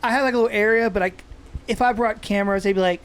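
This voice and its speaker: A man's voice: high voice, fairly even intonation, racing, clean tone. Laid-back man smoking and chatting. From Texas. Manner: laid-back, swearing, rambling with restarts, coughing while smoking. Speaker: podcast co-host. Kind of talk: casual chat. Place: indoors, seated smoking.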